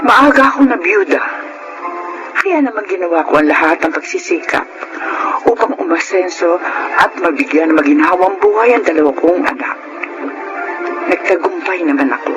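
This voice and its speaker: A woman acts out a middle-aged, well-to-do Filipino mother who expects to be obeyed. She sounds imperious and dramatic, swinging in pitch, in a radio drama with music. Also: expressive, moderate pace, pauses often, lightly acted, rough recording. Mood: reflective. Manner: imperious, English-sprinkled Tagalog. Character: Monica, proud domineering well-to-do widowed mother.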